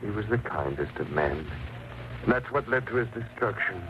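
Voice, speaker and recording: Haunted mysterious male visitor played by an actor, lowish voice, theatrical and grave, vintage radio horror, faint noise. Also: lively intonation, moderate pace, close to an everyday voice. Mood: haunted, urgent. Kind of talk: old-time radio horror drama acting. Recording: muffled old radio recording, faint noise.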